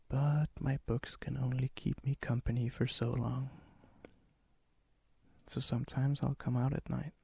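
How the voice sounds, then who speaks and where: A man playing a lonely, gently teasing vampire in an ASMR roleplay, with a soft, breathy voice that often drops into a whisper. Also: mid-range voice, no echo, full character voice. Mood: wistful, lonely. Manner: slow, intimate, hesitant, gently teasing.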